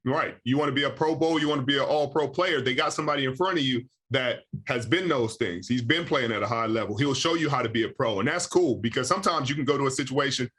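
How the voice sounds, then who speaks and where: A man in his thirties hosting a podcast interview, talking in a relaxed, slangy way with lots of "you know.". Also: mid-range voice, fast talker, hardly any pauses, husky. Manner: laid-back, slangy, frequent "you know". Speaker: podcast host. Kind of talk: casual interview chat.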